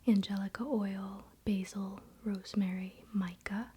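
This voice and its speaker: A woman whispering breathily in an unhurried, confiding ASMR style, speaking directly to the viewer. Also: mid-range voice, level tone, mellow, husky. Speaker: ASMR video creator.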